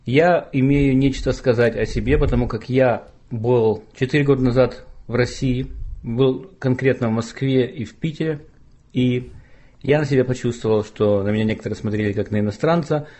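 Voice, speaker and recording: A male podcast host who talks in an easygoing, chatty way and often ribs his guest with playful questions. His voice sits at an ordinary middle pitch. He speaks casually over a remote call. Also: moderate pace. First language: Russian. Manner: relaxed, chatty, teasing questions. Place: home studio, remote call.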